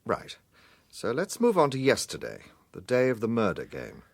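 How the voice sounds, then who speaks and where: An actor playing a courteous, probing gentleman detective questioning people in a radio drama. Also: medium pitch, wildly swooping pitch, moderate pace, creaky, dry recording, clean studio sound, exaggerated voice. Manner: polite, questioning.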